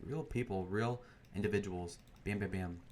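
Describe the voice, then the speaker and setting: A man's voice: lowish voice, normal speed. A young man giving rambling, filler-heavy commentary while he plays a game. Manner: meandering, full of fillers and restarts. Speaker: gaming video creator. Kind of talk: rambling commentary over gameplay. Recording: loud background noise.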